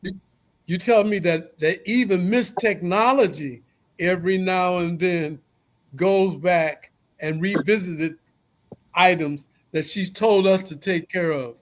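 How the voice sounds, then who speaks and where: A man hosting a talk show, speaking in a halting way and repeating words as he restarts. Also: high-pitched.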